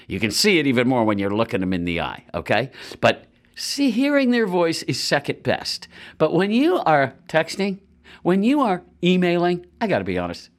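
Animated, rambling middle-aged man. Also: mid-range voice. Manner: animated, rambling, many asides and restarts. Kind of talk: casual conversational storytelling.

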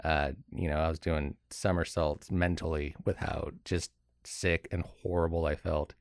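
A man co-hosting a casual chat podcast, his voice a little hoarse. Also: rumbling low, little pitch movement, moderate pace, no echo, clean studio sound. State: slightly hoarse. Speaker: podcast co-host. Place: studio.

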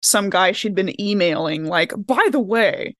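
A woman chatting casually as a podcast panelist, telling stories in an animated way. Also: mid-range voice, measured pace, no echo, clean studio sound. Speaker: podcast panelist and tabletop player. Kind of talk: casual chat.

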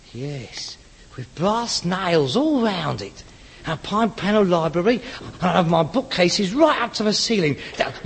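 A man's voice: high-pitched, a little hiss or hum, exaggerated voice. An actor playing a frustrated, pretentious rag-and-bone man of about forty with a working-class London accent, exasperated, grandiose and sarcastic in a sitcom. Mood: pompous. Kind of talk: scripted sitcom acting. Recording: reverberant hall, old sitcom recording.

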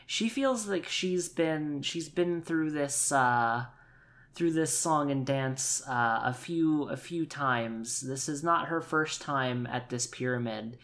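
A man improvising a tabletop game story in a halting, deliberate and playful way. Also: on the high side, fairly bright tone. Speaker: player in an actual play podcast.

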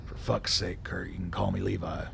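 An actor in a radio drama playing a blunt, profane old army colonel with a gravelly voice, ornery but philosophical and loyal. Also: male voice, sits low, flat delivery, moderate pace, fairly bright tone, slight background noise, heavily acted. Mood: grieving. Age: older. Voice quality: gravelly, creaky.

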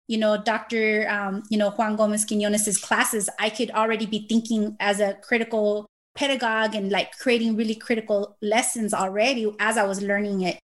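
A woman guest speaking about teaching in a hesitant, upbeat way, full of fillers and restarts. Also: fairly high pitch. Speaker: guest, ethnic studies educator. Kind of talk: casual conversation.

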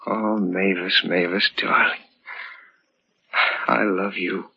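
A man's voice: deep voice, flat delivery, takes it slowly, gravelly, husky, muffled recording, full character voice. An actor playing an adoring, carefree man in love, tender and boyish, in 1940s radio drama style. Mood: elated, doting. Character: adoring, carefree musician twin brother in love. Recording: vintage 1940s radio, band-limited.

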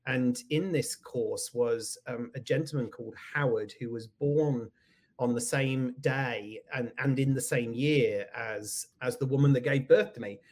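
A man in his forties with a British accent, chatting as a guest in a relaxed interview. He talks in a reflective, self-deprecating way, pausing on ums. His voice sits at an average pitch. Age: 40s. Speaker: guest, executive coach. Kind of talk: casual interview conversation.

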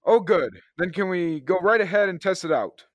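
A young man playing a brisk, take-charge space hero in a classic radio drama. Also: high-pitched, lively intonation, dry recording. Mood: friendly.

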